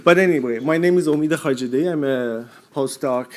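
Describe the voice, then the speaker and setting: A man's voice: a little high, normal speed, darkish tone. A man who is a native Persian speaker, presenting research at a conference and addressing the audience directly. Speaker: postdoctoral researcher presenting a poster pitch. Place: live forum presentation.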